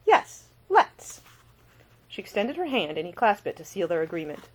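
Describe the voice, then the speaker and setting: A woman narrates scripted fiction as its author. Her voice sits a little low but moves with plenty of melody. The delivery is expressive storytelling. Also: quick pace, slight background noise. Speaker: author reading own audiobook.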